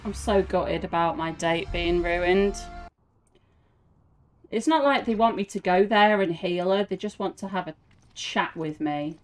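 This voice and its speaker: A woman's voice: on the low side, unhurried, faint music behind. A woman giving casual, playful commentary as she reacts to game cutscenes. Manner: playful, chatty.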